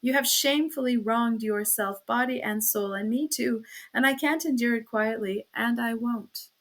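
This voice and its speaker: A woman's voice: on the high side, normal speed, lightly acted. A woman voicing an anguished but firm young wife and mother in an audiobook, pleading and emphatic. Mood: pleading, insistent.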